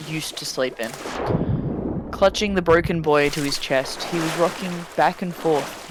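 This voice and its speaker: A man's voice: a little high, noisy background. A man doing a dramatic story reading over voice chat, dropping into casual, sweary asides with friends. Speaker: reader of a fan fiction story. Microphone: voice chat microphone.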